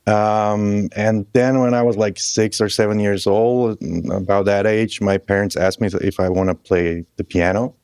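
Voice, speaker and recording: A man in his thirties from Croatia, a guest musician chatting casually in a halting, loose way with restarts. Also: on the low side, steady tone, a little mellow, clean tone, dry recording, studio quality. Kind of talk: casual interview chat.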